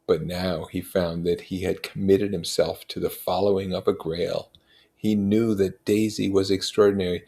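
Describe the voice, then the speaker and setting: A man narrates a novel in a very deep voice with a lot of gravelly fry. He reads in measured, expressive phrases, in a literary storytelling style. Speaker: reader. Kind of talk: literary read-aloud narration.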